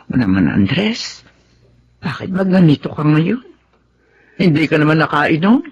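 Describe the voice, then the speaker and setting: A woman acting a devoted middle-aged wife and mother in a melodramatic radio drama, with an emotive, drawn-out delivery. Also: sits low, sing-song, dull audio, slight background noise, heavily acted. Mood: concerned, calm.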